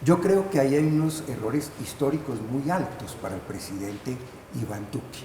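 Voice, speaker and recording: An older man, a professor and panel guest, giving formal political analysis in halting, deliberate phrases. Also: medium pitch, expressive. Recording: echoey, noisy room sound.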